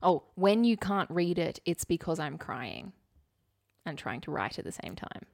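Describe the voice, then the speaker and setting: A woman's voice: on the low side, lively intonation, measured pace, gravelly, darkish tone, no room sound, clean studio sound. An Australian woman co-hosting a podcast, chatting casually with wry, quippy humour. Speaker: podcast co-host. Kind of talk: casual comedic chat.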